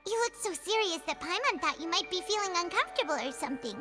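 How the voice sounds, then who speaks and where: A woman voicing a tiny, childlike, excitable fairy companion who talks about herself in the third person, in a game. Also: extremely high pitch, quick pace. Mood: curious. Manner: speaks of self in third person. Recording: music behind.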